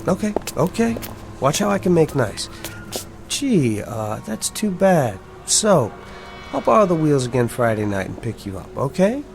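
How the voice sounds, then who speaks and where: A man's voice: on the high side, big pitch swings, measured pace, gravelly, full character voice. An actor playing a brash, resentful working-class young man with slangy, streetwise speech in a radio drama. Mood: wheedling. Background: music.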